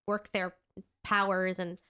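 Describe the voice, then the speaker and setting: A woman's voice: on the low side, level tone, slow pace, sharp tone, clean tone. Congested woman chatting on a podcast. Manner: chatty, rambling with many fillers and restarts. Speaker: podcast co-host. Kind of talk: casual chat.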